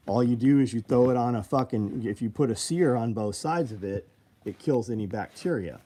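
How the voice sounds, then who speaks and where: A man's voice: mid-range voice, warm tone. A man in his fifties hosting a casual live show, answering viewer comments in a blunt, rambling and profane way. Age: 50s.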